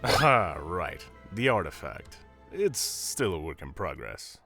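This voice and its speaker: A man's voice: low voice, big pitch swings, takes it slowly, bright, forceful tone, soft background music, full character voice. A man playing an arrogant demon prince in a roleplay drama, dry, haughty and sardonic. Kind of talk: Minecraft roleplay voice acting.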